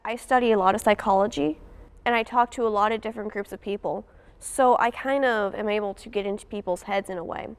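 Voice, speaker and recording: A young woman speaks casually as a student actress being interviewed. Her voice is crisp and smooth at an average pitch. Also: fast talker. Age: teens to young adult.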